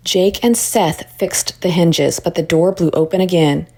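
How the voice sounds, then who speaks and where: A woman narrating a children's story in an expressive read-aloud style. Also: fairly low pitch, a little slow, slightly rough.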